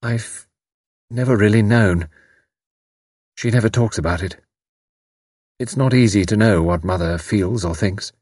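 A man's voice: low voice, moderate pace, a little mellow, husky, no echo, studio quality. A man voicing a fierce, bitter, impetuous young woman in an audiobook, with intense, emphatic delivery. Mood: subdued, abrupt. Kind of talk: audiobook character dialogue.

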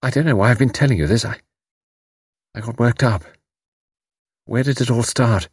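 A man's voice: mid-range voice, steady tone, takes it slowly, darkish tone, husky, dry recording, studio quality, voice pushed up. A man voicing a fierce, bitter, impetuous young woman in an audiobook, with intense, emphatic delivery. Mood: subdued, abrupt. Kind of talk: audiobook character dialogue.

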